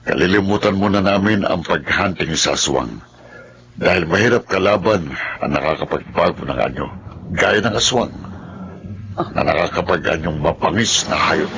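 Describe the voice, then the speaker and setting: A man playing a secretive village captain and husband in a Tagalog horror radio drama, measured and evasive. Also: deep voice, animated, fairly bright tone, slight background noise. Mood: calm, evasive.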